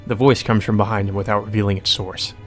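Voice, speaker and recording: Male let's player, lower register, casual read-aloud narration with blunt informal commentary, music underneath. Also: little pitch movement, fast. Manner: informal, profane asides. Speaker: let's play host reading a visual novel aloud.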